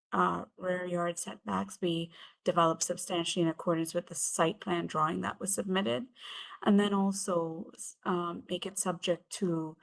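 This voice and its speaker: A woman committee member making a formal motion at a hearing, measured and halting with fillers. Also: on the low side, creaky, rough recording.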